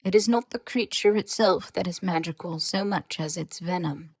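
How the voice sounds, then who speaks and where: A woman acts out an aloof government researcher in an audio drama. The voice is on the low side and closely dry-recorded, with formal, measured lecturing and dry sarcasm. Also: dry recording. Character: aloof, sarcastic, scholarly government researcher speaking through voice-disguising charm. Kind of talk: scripted audio fiction dialogue.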